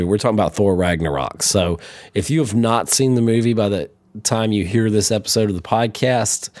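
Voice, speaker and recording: A man with a cold hosting a podcast, talking in a deep, hoarse voice and rambling casually off on tangents. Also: no room sound. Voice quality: deep, dark voice. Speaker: podcast host.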